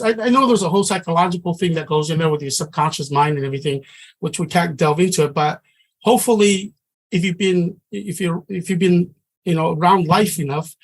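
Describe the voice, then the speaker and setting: A middle-aged man from Samoa, teaching a trading class in an earnest, conversational way and often restarting his sentences. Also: high-pitched. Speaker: trading instructor.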